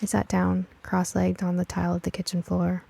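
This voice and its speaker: Mellow woman narrating, slightly creaky voice. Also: on the low side, completely flat delivery, a little fast. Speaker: narrator, writer and producer of the show. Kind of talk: first-person scripted story narration, nostalgic radio drama.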